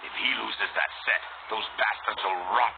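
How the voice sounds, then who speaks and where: A man playing a gruff, boastful, bullying guard sergeant with coarse, taunting speech in a video game. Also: fairly low pitch, fairly even intonation, normal speed. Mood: gruff, smug. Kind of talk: video game character acting. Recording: tinny band-limited game audio, noisy.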